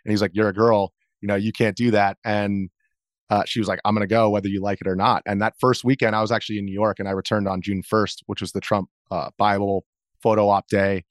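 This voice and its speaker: A man's voice: on the low side, little pitch movement, fast talker, no room sound, clean studio sound. An American man, an interview guest and journalist, recounting his experiences in a casual conversational style.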